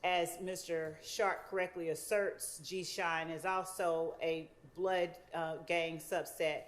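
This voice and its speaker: A woman speaking as a prosecutor, making a formal, deliberate and somewhat halting argument to the court. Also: fairly low pitch, level tone.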